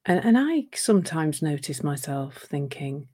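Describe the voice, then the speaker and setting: A woman talks gently and thoughtfully in a live session, her low-pitched voice slightly croaky from a sore throat. She often restarts her phrases as she reflects out loud. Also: very animated, a little slow. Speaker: coach. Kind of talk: live talk on relationships.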